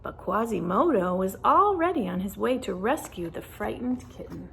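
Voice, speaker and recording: A woman reading a children's storybook aloud in a deliberate, animated storytelling manner. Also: mid-range voice, creaky, a little hiss or hum, rough recording. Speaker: storybook reader. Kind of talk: expressive children's read-aloud narration.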